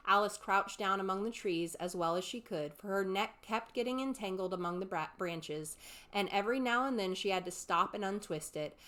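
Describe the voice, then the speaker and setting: A woman's voice: medium pitch, moderate pace, a bit bright. A woman reading a classic story aloud as scripted narration. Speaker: audiobook reader.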